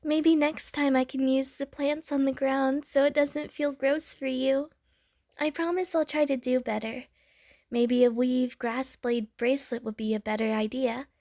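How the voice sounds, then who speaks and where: A woman's voice: sits high, fairly even intonation, measured pace, a little mellow, no room sound, clean studio sound. A woman playing an innocent young water slime creature in an audio roleplay, with a childlike, playful, gushing manner. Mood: earnest, sweet. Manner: childlike, playful, gushing, punctuated with little bloop noises. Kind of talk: audio roleplay addressed to the listener.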